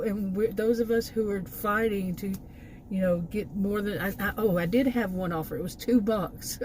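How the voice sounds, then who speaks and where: A woman's voice: mid-range voice, a little mellow, a little hiss or hum, low-quality recording. An American woman vlogging in a casual, rambling rant with an exasperated manner. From Texas, United States. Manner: exasperated, chuckles between remarks. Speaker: food delivery gig worker, vlogger.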